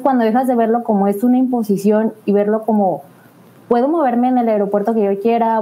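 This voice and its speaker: A woman's voice: mid-range voice, a little hiss or hum, rough recording. A woman from Mexico with a Mexican accent, a recruiter talking casually in a panel discussion and acting out quoted people. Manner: chatty, quotes people in lively asides.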